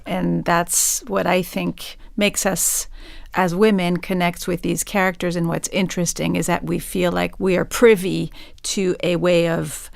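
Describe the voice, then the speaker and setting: Creaky, low, even-toned woman. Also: a little slow, no room sound, clean studio sound. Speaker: panel guest. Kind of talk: measured discussion of a play.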